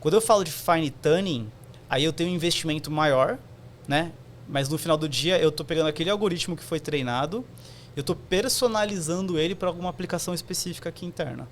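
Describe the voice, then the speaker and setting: A man's voice: fairly high pitch, quick pace, a little hiss or hum. A man, a podcast guest explaining technical concepts conversationally, with many fillers.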